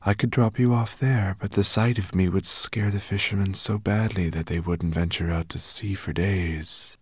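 A man voicing a huge ancient female sea serpent in an audiobook, speaking slowly with a hissing, measured manner. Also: deep voice, fairly even intonation, breathy edge, dry recording, clean studio sound, voice pushed up. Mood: solemn. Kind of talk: audiobook character voice.

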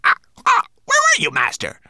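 A man voicing an agitated raven servant, squawking and complaining in a shrill voice. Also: wildly swooping pitch, normal speed, heavy background noise, exaggerated voice. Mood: frantic, indignant. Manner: agitated, repetitive exclamations. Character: frantic male raven servant, squawking and complaining to his master.

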